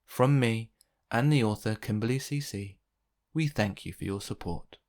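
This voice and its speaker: A man narrating a children's picture book as an expressive read-aloud. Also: fairly low pitch, moderate pace.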